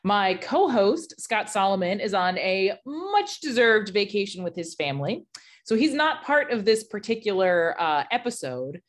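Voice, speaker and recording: A woman's voice: medium pitch, sing-song, a little slow. A woman hosting a science webinar over a video call, chatty, upbeat and candid. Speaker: webinar host.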